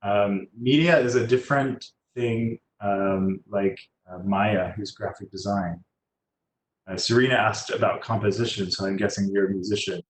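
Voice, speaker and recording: Low-voiced man, hesitant, in an echoey room. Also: fairly even intonation. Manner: hesitant, halting delivery with trailing phrases. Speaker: guest, landscape designer and choral musician. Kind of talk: informal career advice over video call. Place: indoor room on a video call. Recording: echoey room, faint background noise.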